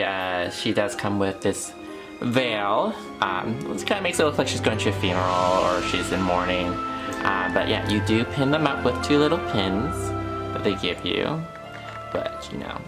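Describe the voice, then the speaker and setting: A man's voice: on the low side, low-quality recording. A man co-hosting a review channel, explaining product details in an informal, hedging, chatty way. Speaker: doll review channel co-host. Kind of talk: casual product review chat.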